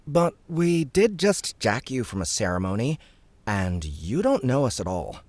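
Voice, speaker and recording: Wary, blunt young guy sidekick acted by a male narrator, pitched up from a deep voice, dry sound. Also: big pitch swings, unhurried, darkish tone, dry recording. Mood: surprised, doubtful. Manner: casual, exclamatory, questioning. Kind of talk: audiobook character acting.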